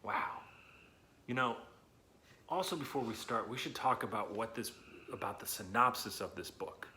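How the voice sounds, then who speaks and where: A man hosting a read-aloud lesson for children, talking in an animated, chatty, encouraging way with emphatic words and questions to his listeners. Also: medium pitch, moderate pace, slight background noise. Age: adult, not young.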